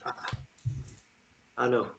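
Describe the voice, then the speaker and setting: A man acting a pleading, protective young man in a fantasy romance table read, with halting, earnest delivery on a dull recording. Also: pushed and bright, slight background noise. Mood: apologetic, tender.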